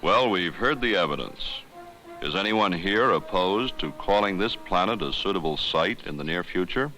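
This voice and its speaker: A man playing a solemn starship captain with a formal, deliberate delivery in a 1970s educational film. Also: low voice, expressive, bright, forceful tone, soft background music, low-quality recording. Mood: grave, commanding. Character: solemn male starship captain leading an alien survey expedition. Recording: vintage 1970s film soundtrack.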